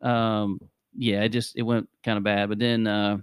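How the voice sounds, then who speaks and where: A man's voice: on the low side, little pitch movement, moderate pace, clear, resonant tone, dry recording, studio quality. A man in his forties hosting a casual sports chat, rambling and hesitant, with lots of fillers. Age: 40s. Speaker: podcast host.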